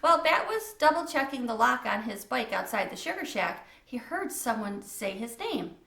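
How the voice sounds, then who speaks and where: A woman's voice: on the high side, lively intonation, normal speed, gravelly, a bit bright, roomy sound. A woman reading a children's book aloud as a storyteller, in a scripted narration style.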